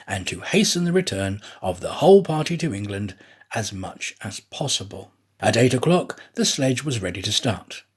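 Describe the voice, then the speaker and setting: A man narrating a classic adventure story in a measured, expressive storytelling manner. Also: medium pitch, husky. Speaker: audiobook narrator, actor.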